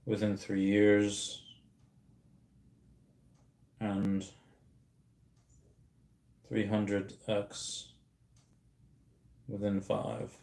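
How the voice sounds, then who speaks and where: Man in his thirties, streamer host, rambling casual delivery, slightly low voice, echoey room. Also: monotone, very slow, long pauses, darkish tone. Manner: rambling, many restarts, laughs at comments. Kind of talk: casual livestream chat reading comments.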